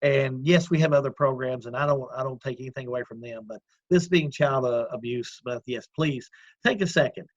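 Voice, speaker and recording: A man with a loud voice, an executive director talking earnestly in a casual interview, with many fillers and restarts. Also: mid-range voice, brisk, no echo, muffled recording. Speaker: community action executive director. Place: at home, remote call.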